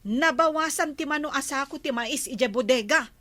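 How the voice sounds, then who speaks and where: Indignant Ilocano-accented businesswoman, played by a woman, bright forceful voice on the high side, forthright, comedy drama. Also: normal speed, no room sound. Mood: indignant, accusing. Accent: Filipino, Ilocano.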